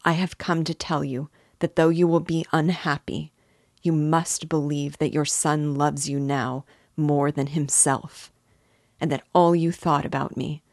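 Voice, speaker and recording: A woman's voice: sits low, darkish tone, no echo, clean studio sound. A woman voicing a troubled young man who speaks solemnly and heavily to his mother. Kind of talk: audiobook character reading.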